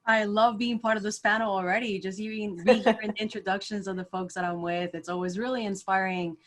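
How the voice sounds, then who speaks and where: A woman's voice: mid-range voice, steady tone, a little fast. A young adult woman from Costa Rica, introducing herself eagerly on a panel over a video call, with frequent "like" fillers. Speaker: panelist, engineer.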